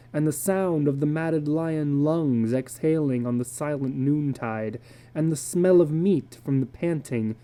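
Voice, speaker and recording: A woman narrating a literary story as a dramatic reading, expressive and deliberate in her delivery. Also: low-pitched.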